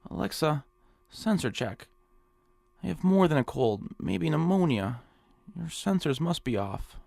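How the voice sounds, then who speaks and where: A henpecked nebbish, whiny and stammering, his creaky voice caught close and dry. He sounds timid and apologetic, a put-upon little man in a radio comedy. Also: fairly high pitch, big pitch swings, unhurried, darkish tone, no echo, exaggerated voice. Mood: grumpy whining. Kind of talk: comic radio drama acting.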